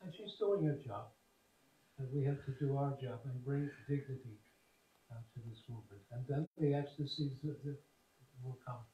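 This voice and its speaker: A man giving a devotional talk, wry and digressive, with halting fillers. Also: medium pitch, muffled recording. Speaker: senior monk speaker. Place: temple hall. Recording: roomy hall, voice from far off, faint noise.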